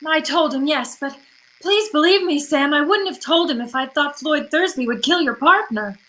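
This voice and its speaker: Radio drama femme fatale, played by a woman: very high, tense female voice, pleading, seductive, melodramatic. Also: normal speed, sharp tone. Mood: distressed, evasive. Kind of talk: old-time radio drama acting.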